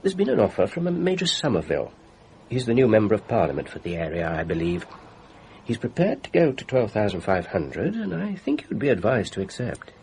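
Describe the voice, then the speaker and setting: A man's voice: lowish voice, wildly swooping pitch, fairly quick, vocal fry, a little mellow, a little hiss or hum, exaggerated voice. A man playing a courteous, precise family solicitor with a formal, deferential manner in a radio mystery drama. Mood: businesslike, courteous.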